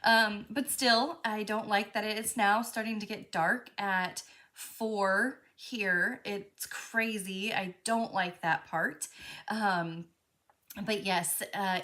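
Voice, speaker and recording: A woman with a slightly high voice runs a live crafting stream from home. She chats loosely with plenty of fillers, and she still sounds a little stuffy from a cold. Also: a bit bright. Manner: chatty, friendly, many fillers. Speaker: crafting show host.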